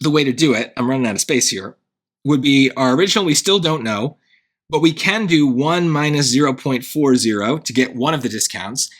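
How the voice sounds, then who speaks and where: Bright-voiced man tutoring math, going hoarse. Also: medium pitch. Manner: thinking aloud, wry asides, frequent "right?". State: voice getting hoarse. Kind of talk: casual worked-example lesson.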